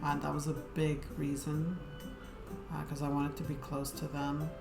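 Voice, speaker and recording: A woman's voice: low-pitched, monotone, normal speed, creaky. A woman game streamer chatting casually to viewers in a rambling, hesitant way, with lots of fillers. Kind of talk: casual live-stream chat. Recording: echoey room with game music.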